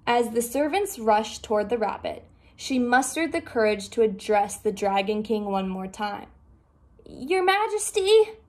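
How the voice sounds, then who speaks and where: A woman's voice: medium pitch, steady tone, moderate pace. A woman narrating a children's story aloud as a storyteller.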